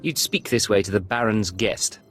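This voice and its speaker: An actor playing a cocky, curt imperial secret agent in a video game, with a husky voice. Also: male voice, on the low side, normal speed, a bit bright, soft background music, close to an everyday voice. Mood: cool defiance. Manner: curt, self-assured.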